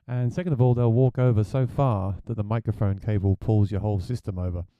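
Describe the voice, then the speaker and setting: A man with a deep voice, a gigging musician, chatting plainly through a gear review. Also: steady tone, fairly quick, clear, resonant tone, no room sound, dull audio.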